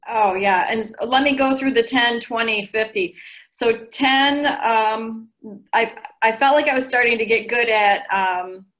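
A woman in her forties giving chatty, animated coaching advice over a video call from her living room. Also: on the high side, unhurried. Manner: chatty and animated, gestures a lot. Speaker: guest recruiter. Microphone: video call laptop mic.

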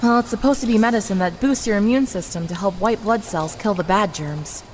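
A woman's voice: medium pitch, fairly quick, soft background music, lightly acted. An actress playing a mysterious snake woman nursing her captive in an audio drama. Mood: concerned, soft-spoken. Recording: reverberant underground space with background noise.

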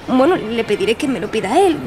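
Cheeky, excitable young woman for radio play: high, very lively, fairground crowd behind. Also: a little fast. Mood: smitten, excited. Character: excitable, cheeky young woman, Amelia's boy-crazy friend. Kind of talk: audio drama acting. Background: outdoor fair crowd and music.

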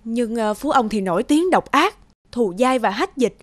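A woman voicing a sympathetic older village woman in an animated folk tale, speaking in a pitying, cautious manner. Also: fairly high pitch, normal speed, lightly acted. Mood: worried, pitying.

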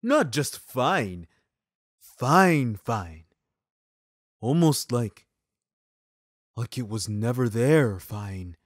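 A man's voice: mid-range voice, sing-song, extremely slow, long pauses, dry recording, studio quality, exaggerated voice. A man voicing an eccentric, naively earnest landlord in an audio story, with a quirky, emphatic delivery. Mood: earnest, uneasy. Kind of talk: scripted audio story dialogue.

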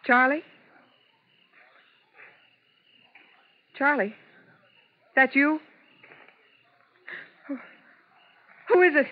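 Anxious showgirl wife, high-pitched, 1950s radio suspense style, muffled vintage recording. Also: takes it slowly. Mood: frightened. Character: frightened young Las Vegas showgirl wife, shaken, anxious. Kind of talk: 1950s radio drama acting.

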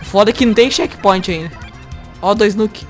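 A young man with a Brazilian accent, casually commentating a video game, joking, excitable and swearing a lot. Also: high voice, moderate pace. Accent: Brazilian Portuguese. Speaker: host. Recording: game music behind.

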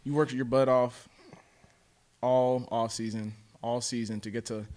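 A man's voice: mid-range voice, little pitch movement, unhurried, a little mellow. An American man in his twenties, a college football player answering questions at a press conference. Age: 20s. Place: indoor press conference room. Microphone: table microphone.

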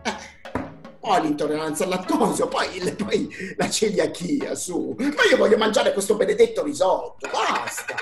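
Man playing a high-voiced, whining, stubborn husband. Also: very animated, normal speed, gravelly, music in the background, heavily acted. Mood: exasperated. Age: middle-aged. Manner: protesting, repetitive, whiny. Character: stubborn, exasperated gluttonous husband. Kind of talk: comic radio soap acting. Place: echoey home kitchen. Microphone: video call. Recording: echoey video call.

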